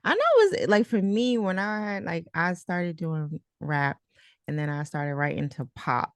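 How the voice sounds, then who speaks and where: Deep-voiced, gravelly woman interviewer. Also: expressive, normal speed, no room sound. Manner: informal, slangy, playful and teasing. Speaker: host and interviewer. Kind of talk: casual interview chat.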